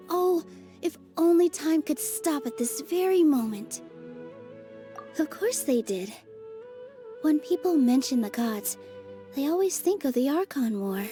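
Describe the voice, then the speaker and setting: A graceful young dancer. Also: female voice, sits high. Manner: gentle storytelling. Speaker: festival dancer. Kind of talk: game cutscene voice acting.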